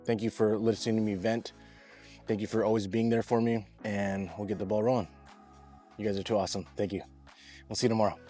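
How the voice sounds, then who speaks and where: A man's voice: on the low side, little pitch movement, fast talker, long pauses, mellow, soft background music. Young guy yard vlogger, casual, slangy, easygoing. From Pacific Northwest. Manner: laid-back, chatty, slangy, says bro and dude a lot. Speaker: lawn care vlogger. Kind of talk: casual vlog talk to camera. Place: outdoors in a yard.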